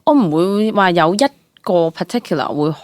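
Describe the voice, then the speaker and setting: A young woman with a Hong Kong Cantonese accent speaks in a lowish voice, recorded close and dry. She chats casually and expressively as a guest, dropping English words into her sentences. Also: normal speed, no room sound. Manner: animated storytelling with exclamations, English words mixed in. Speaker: guest, stage actress. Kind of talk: casual personal interview chat.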